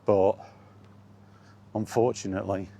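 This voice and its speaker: A man's voice: fairly low pitch, little pitch movement, unhurried, creaky, mellow, breathy edge. A man chatting casually and bluntly in a rambling, resigned way, as a vlogger walking outdoors. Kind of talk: casual walking vlog commentary on video games.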